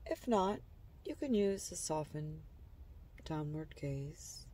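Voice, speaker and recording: Slow, mellow woman guiding relaxation. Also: deep voice, recorded from a distance, a little hiss or hum. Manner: calm, gentle, soothing, with trailing phrase endings. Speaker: guided meditation narrator. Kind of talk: slow guided imagery relaxation narration.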